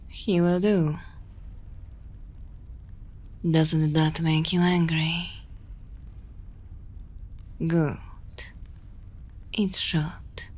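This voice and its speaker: A woman playing an imperious, seductive evil queen in an ASMR roleplay, menacing yet motherly, with a deliberate, dominant delivery that often drops into whispers. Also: fairly low pitch.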